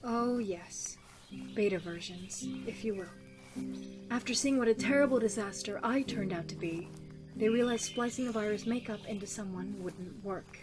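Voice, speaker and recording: Actress as wry, sickly young female survivor in a zombie audio drama, measured and guarded, music underneath. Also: medium pitch, natural voice. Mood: grim, confiding. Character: guarded, sickly young woman survivor of cruel virus experiments.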